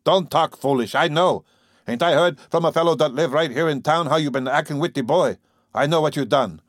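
A man reading an audiobook, voicing a gruff, bullying old farmer who whines and mangles his consonants in a rough rural dialect. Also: a little high, brisk, no echo, clean studio sound, voice pushed up, close to an everyday voice. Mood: accusing.

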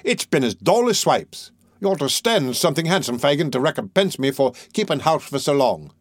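A man's voice: fairly high pitch, very animated, a little fast, dry recording. A man voicing a bored, boastful adult male housebreaker in a storybook reading, with a raised, swaggering voice.

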